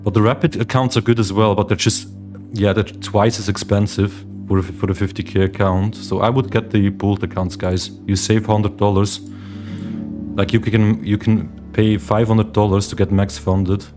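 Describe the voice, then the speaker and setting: Low-voiced swiss guy streaming live, calls everyone guys, calm music going behind him. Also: normal speed. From Switzerland. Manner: chatty, addresses viewers as guys, reads chat aloud. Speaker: livestream host, day trader. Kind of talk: casual livestream chat. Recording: meditation music playing behind the voice.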